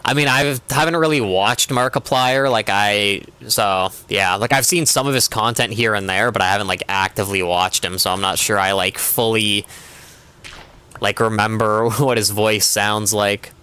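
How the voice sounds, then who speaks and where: Young man, game streamer, low bright smooth voice, rambling casual chat full of fillers. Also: steady tone, normal speed. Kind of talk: casual chat while gaming.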